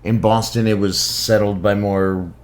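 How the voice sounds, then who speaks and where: A man's voice: lowish voice, fairly even intonation, measured pace. An American man from Connecticut chatting casually in a tentative, hedging manner. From Connecticut, United States. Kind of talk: casual chat.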